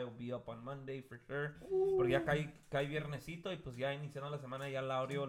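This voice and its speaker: A man's voice: mid-range voice, background noise. A man hosting a casual podcast, joking and mixing Spanish and English. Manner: loose, joking, switches between Spanish and English. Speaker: podcast host. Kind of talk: casual bilingual chat.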